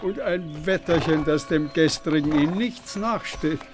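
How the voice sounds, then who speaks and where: An actor playing a jovial, generous aristocratic count in a period radio drama, with a hearty, courtly manner. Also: male voice, on the high side, measured pace, over music, lightly acted.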